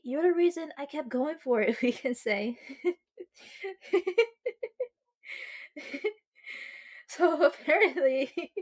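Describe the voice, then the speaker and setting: High-voiced, flustered young girlfriend, acted by a woman. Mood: amused, sheepish. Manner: halting, stalling, sighing, mock-indignant. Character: sweet, sheepish, flustered young girlfriend confessing to her partner. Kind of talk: ASMR girlfriend roleplay, acted monologue to the listener.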